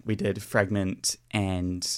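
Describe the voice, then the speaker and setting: A young man with a New Zealand accent, a percussion student talking as a guest in a radio interview, hesitant with many pauses and restarts. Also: deep voice, level tone, clean tone.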